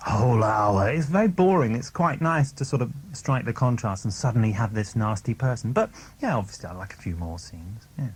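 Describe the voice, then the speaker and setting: A man's voice: medium pitch, animated, brisk, hardly any pauses. A man chatting casually as an actor in an interview, in a joking, rambling way. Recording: slight background noise.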